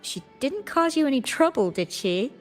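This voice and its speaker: An actress playing a kind young graduate student in a video game, gently teasing and earnest, with slight hesitation. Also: female voice, sits high, very animated, normal speed, soft background music. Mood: concerned, curious. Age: young adult.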